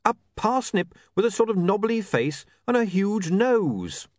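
A man's voice: high voice, very animated, unhurried, no echo, studio quality, voice pushed up. A man voicing a flustered, exasperated young male newspaper editor with a sarcastic edge, in a storybook reading.

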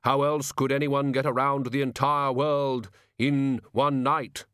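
Male reader doing Death, measured and unhurried, dry close recording. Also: mid-range voice, level tone, a bit bright, clean tone, no room sound, pitched above the performer's own voice, close to an everyday voice. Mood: grave. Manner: slow, measured, grave. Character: Death, ancient calm male personification.

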